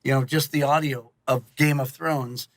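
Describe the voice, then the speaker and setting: A man's voice: medium pitch, fairly even intonation, normal speed, no room sound. A middle-aged man chatting casually as a podcast guest, telling anecdotes in a conversational way. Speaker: guest, audio series creator. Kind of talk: casual podcast interview chat.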